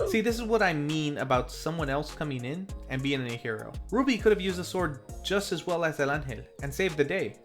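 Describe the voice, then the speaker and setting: A man hosting a film review, narrating a scripted recap in a playful, tongue-in-cheek way with theatrical asides. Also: on the high side. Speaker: film review show host. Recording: faint music under the voice. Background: faint background music.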